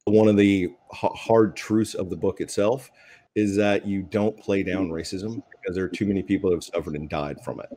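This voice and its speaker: A man with a deep, low voice speaks as a panelist. His delivery is thoughtful and conversational, recorded on a video call. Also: fairly even intonation, moderate pace, mellow. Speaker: panelist, game designer. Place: indoor room at home.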